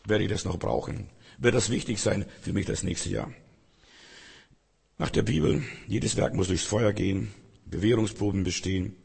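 A hoarse man preaching an earnest sermon full of rhetorical questions. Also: on the low side, normal speed, darkish tone. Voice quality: raspy, gravelly. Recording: long hall reverb.

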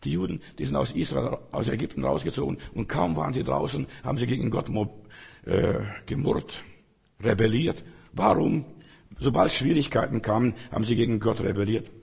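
A hoarse man preaching an earnest sermon full of rhetorical questions. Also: mid-range voice, lively intonation, moderate pace, darkish tone. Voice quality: raspy, gravelly. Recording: long hall reverb.

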